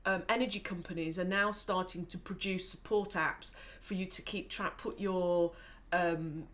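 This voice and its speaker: A woman's voice: on the low side, fairly even intonation, normal speed, a bit bright. Woman casually explaining apps. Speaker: co-host of an app review show. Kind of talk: casual explanatory tech review.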